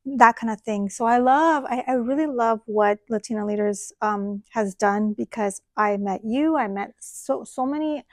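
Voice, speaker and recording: A woman's voice: on the high side, moderate pace, few pauses, dry recording, studio quality. A woman hosting a podcast in casual conversation, affirming her guest with frequent backchannels. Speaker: podcast host, college teacher. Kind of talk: casual interview chat.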